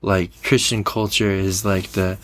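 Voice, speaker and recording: A woman's voice: very deep voice, level tone, unhurried, no room sound. A woman speaks as a podcast host in a casual, conversational way. She is agreeable and affirming, readily chiming in with short responses as she follows along.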